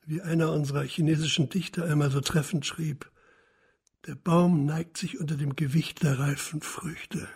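A genial, lecherous merchant gentleman, with a very husky, creaky voice pitched fairly high. He relishes his florid phrases in a radio play with some music behind. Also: steady tone, moderate pace. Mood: smug, lustful. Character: jovial, lecherous, flattering Chinese merchant gentleman. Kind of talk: radio drama acting.

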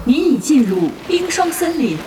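An actress playing an impersonal female game system announcer, giving formal, measured notifications in an audio drama. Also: high-pitched, big pitch swings, background noise. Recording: reverberant voice over music.

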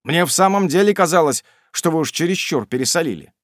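Guy doing a nervous young lodger, placating, raised and lively. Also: a little high, quick pace, no echo, studio quality, pitched above the performer's own voice, natural voice. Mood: flustered. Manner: flustered, placating. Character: anxious young male lodger pleading.

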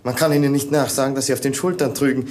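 An actor playing a cheeky court servant in a 1950s radio play. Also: male voice, mid-range voice, fairly even intonation, quick pace, darkish tone, slight background noise, lightly acted.

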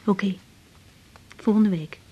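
An actress playing a frank, kind young female doctor in a radio drama, informal, gentle and a little hesitant. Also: medium pitch, slow pace, lo-fi sound, a little hiss or hum, lightly acted. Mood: agreeable. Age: young adult. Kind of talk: 1980s Dutch radio drama acting.

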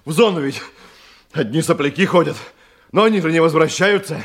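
A man's voice: fairly high pitch, a little fast, natural voice. A man in a Russian radio drama playing an evasive, servile criminal underling who hesitates, stammers and stalls. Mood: placating, evasive. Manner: hesitant, stammering, repeats questions back.

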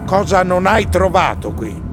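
An Italian-accented man serves as interviewer and narrator in a radio theatre piece. His voice sits a bit high for a man and the delivery is scripted, with music clearly underneath. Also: big pitch swings, unhurried, long reverb tail.

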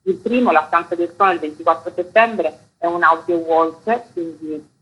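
A woman's voice: lowish voice, normal speed, husky, highs cut off. A woman being interviewed on the radio as an artistic director, explaining a programme in detail.

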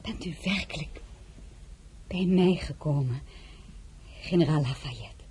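An actress playing a young crown princess in a radio play, who also narrates in the first person in a hushed, half-whispered way. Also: female voice, deep voice, slow pace, husky, heavily acted. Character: young French-born crown princess, proud and composed. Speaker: narrator and lead character.

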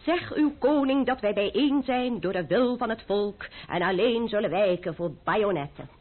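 A woman's voice: medium pitch, lively intonation, moderate pace, lightly acted. An actress playing a proud, defiant young French noblewoman in a Dutch radio play, haughty and scornful in manner. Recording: narrow-band, muffled old radio recording.

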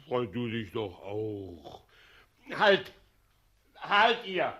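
An actor playing a gloating, self-important usurper president in a 1960s radio play, pompous and commanding with a false sweetness. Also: male voice, mid-range voice, very animated, very slow, bright, forceful tone, exaggerated voice. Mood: falsely sweet, bossy.

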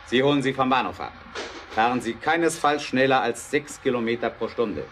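A man acting a determined, practical inventor in a German radio play, measured, persuasive and drily ironic. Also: mid-range voice, lively intonation, fairly bright tone, slight background noise, lightly acted. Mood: dry, ironic.

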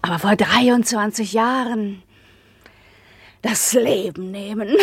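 A bawdy, teasing radio play woman with a highish voice that swings widely. She talks slowly and playfully, laughter running through it, in a dry recording. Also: a little mellow, breathy edge, no room sound, exaggerated voice. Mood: amused, mocking. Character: giggly, teasing, bawdy woman reminiscing about lovers. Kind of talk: lively radio drama acting.

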